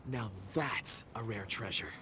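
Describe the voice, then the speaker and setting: A man's voice: lowish voice, lively intonation, unhurried, sharp tone, slight background noise. A man voicing a polite, earnest young rock expert in a video game, eager and eloquent. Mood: impressed, rhapsodic. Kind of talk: video game character acting.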